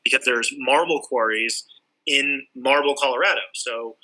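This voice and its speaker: A man's voice: mid-range voice, normal speed, bright, forceful tone, rough recording. A man from Southern California, a sculptor and art teacher, chatting casually in an interview and rambling with lots of "like" and restarts. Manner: rambling, many likes and restarts.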